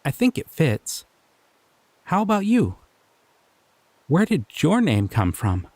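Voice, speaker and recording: A man voicing a gentle, curious male slime creature in an audio roleplay, talking sweetly and bashfully. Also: on the high side, huge pitch swings, slow, no echo, full character voice.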